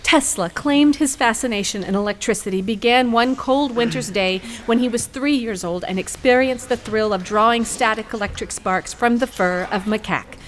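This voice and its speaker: A woman's voice: fairly high pitch, moderate pace, few pauses, slight background noise, natural voice. An actress playing an earnest, formal female defense attorney, articulate and firm, in a courtroom audio drama. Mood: earnest, narrating.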